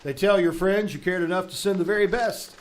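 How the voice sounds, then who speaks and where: A man's voice: sits high, fairly quick, lightly acted. A man playing a polished 1940s radio announcer, reading scripted sponsor messages. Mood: assured, promotional. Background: music.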